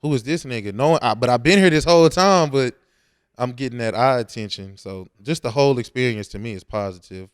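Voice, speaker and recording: A man's voice: medium pitch, clean tone, no room sound, clean studio sound. A young man, talking casually and slangily as a rapper in an interview. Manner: informal, slangy.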